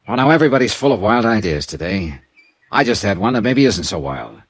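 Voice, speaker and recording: An actor playing a wisecracking, hard-boiled insurance investigator with an American accent, wry and clipped, in an old-time radio detective drama. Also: male voice, on the low side, very animated, brisk, no echo. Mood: combative. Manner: wry, clipped, tough-talking.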